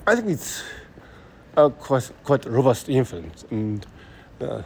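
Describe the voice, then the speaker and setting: A man with a Japanese accent thinking aloud in a halting monologue while walking city streets, speaking into a phone, full of fillers and restarts. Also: medium pitch, sing-song, creaky, a little hiss or hum. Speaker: podcast host. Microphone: phone held close.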